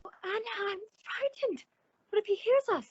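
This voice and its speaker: A woman's voice: extremely high pitch, moderate pace, no echo, muffled recording. A woman acting a naive, nervous, placating young-sounding character in an old-time radio suspense play, with exclamatory delivery. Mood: frightened.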